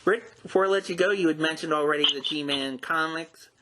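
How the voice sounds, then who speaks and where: A man hosting an interview in a measured, polite conversational way, his phrases often trailing downward. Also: fairly high pitch, noisy background. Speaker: host, interviewer.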